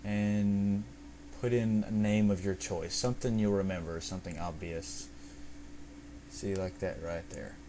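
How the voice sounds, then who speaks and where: A man with a somewhat low voice narrates a software tutorial step by step. His delivery is informal and unscripted, explaining things casually as he goes. The amateur home recording carries a faint, steady fan-like noise behind him. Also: measured pace. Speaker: video tutorial presenter.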